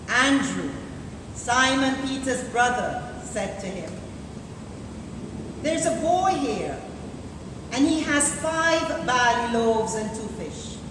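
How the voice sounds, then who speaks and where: A woman reads a liturgical scripture passage at a very slow, solemn pace. Her voice rings through a church with a long reverb. The live recording is distant, with clear background noise. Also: fairly high pitch. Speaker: deacon reading scripture in church. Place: large church interior, live service. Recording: echoey church from afar, noisy live recording.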